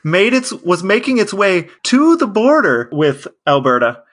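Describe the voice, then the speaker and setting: A man's voice: high-pitched, very animated, a little slow, a bit bright. A man co-hosting a podcast, telling things in a casual, chatty way. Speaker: podcast co-host. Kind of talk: casual storytelling chat.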